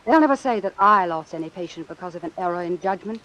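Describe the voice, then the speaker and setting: A woman's voice: lowish voice, animated, fast, a bit bright, lo-fi sound. An actress playing an embittered, ambitious woman doctor in an old-time radio suspense drama, speaking in a cool, clipped, sardonic way. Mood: bitter, resolute. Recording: hissy vintage radio recording with rain. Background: hiss and falling rain.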